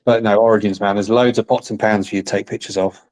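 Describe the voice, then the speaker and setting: A man's voice: lowish voice, level tone, quick pace, darkish tone. A man hosting a casual interview, playful and teasing his guest. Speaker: interviewer host.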